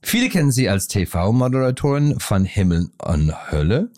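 A man's voice: fairly low pitch, big pitch swings, normal speed, darkish tone, dry recording, clean studio sound. A man hosting a podcast, chatty and mixing English phrases into German he learned later in life. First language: not German. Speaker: podcast host. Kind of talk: lively podcast chat and scripted intro.

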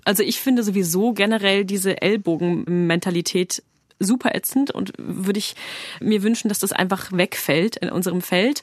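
Young female soprano, bubbly and chatty, mid-pitched, dry booth sound. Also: no room sound. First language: German. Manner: enthusiastic, lively, casual phrasing with fillers. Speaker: soprano singer, interview guest. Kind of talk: radio interview.

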